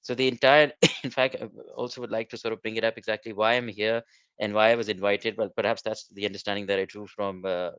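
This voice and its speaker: A middle-aged man whose first language is Urdu gives a webinar presentation, halting with many fillers and restarts. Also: on the low side, level tone, fairly bright tone, clean tone, no echo. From Pakistan. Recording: dull lo-fi online call.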